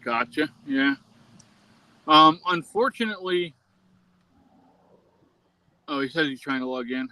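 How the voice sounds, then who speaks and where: A man hosting a casual livestream, easygoing and relaxed, reading out the chat and swearing now and then. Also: a little high, slow pace, pauses often, dry recording. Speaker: livestream host.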